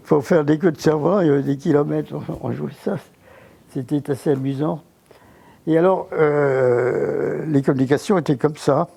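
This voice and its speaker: An older man recalling his childhood in an interview, halting, with many false starts. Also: fairly high pitch, fairly even intonation, vocal fry, mellow, breathy edge. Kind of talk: reminiscing interview.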